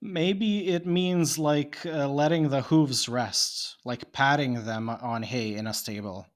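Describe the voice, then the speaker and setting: A man's voice: mid-range voice, takes it slowly. A man from Bosnia, a podcast guest, speaking English with a Bosnian Serbian accent. He muses and thinks aloud in casual chat, often laughing.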